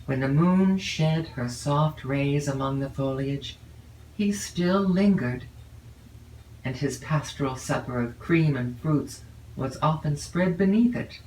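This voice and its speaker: Woman reading an old novel audiobook, measured n expressive, echoey room, bit of background noise. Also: low voice. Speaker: audiobook narrator. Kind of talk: scripted literary narration of a classic novel. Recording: roomy, distant mic with faint noise.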